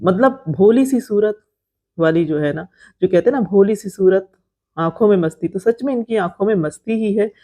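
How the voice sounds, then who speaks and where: Indian woman with a mellow, soft-toned voice. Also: medium pitch, normal speed. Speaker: vlogger commenting on creator drama. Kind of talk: informal narrated gossip commentary.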